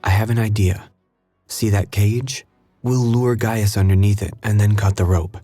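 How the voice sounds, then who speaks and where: An actor playing a confident detective who lays out plans in a steady, decisive voice. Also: male voice, on the low side, normal speed, no echo, faint music behind, natural voice. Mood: determined. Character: calm male detective, confident and methodical. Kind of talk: game cutscene voice acting.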